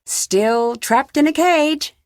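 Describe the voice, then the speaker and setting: A woman playing a self-possessed young female detective in a video game, speaking in a composed, clearly enunciated way. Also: high voice, slow, no echo, clean studio sound. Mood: defiant.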